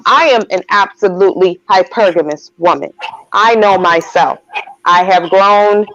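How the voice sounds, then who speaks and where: Assertive woman on dull lo-fi audio. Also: medium pitch. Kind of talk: opinionated discussion.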